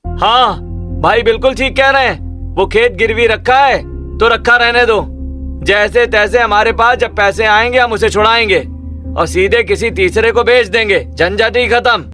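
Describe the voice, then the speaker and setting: Guy putting on a grumbly younger village brother, very high sharp cartoon voice. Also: measured pace, faint music behind. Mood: dismissive. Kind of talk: cartoon story voice acting.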